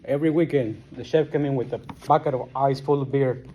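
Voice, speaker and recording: Speaker at a recovery meeting: a Guatemalan-accented man in his forties, mellow tone, slight room hiss behind him. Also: mid-range voice, steady tone. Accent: Guatemalan Spanish. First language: Spanish. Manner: halting, plain-spoken, restarts often. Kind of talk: personal recovery story.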